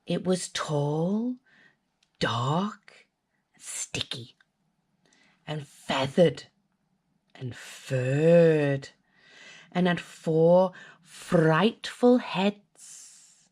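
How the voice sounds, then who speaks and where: A woman's voice: deep voice, animated, drawn-out words, pauses often, darkish tone. A woman with a British accent reading a children's story expressively, dramatically drawing out words. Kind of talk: expressive scripted storybook narration.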